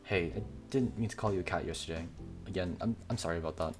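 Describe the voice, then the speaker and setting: A man's voice: low voice, fast talker, close to an everyday voice. A man voicing an awkward, sheepish university student in a visual novel, hesitant in manner. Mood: apologetic. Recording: music behind the voice.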